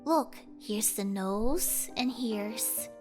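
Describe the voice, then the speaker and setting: A woman's voice: fairly high pitch, very slow, soft background music. A woman voicing an eager young boy who bickers bossily with his little sister. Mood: eager, insistent. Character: young boy, eager, argumentative older brother.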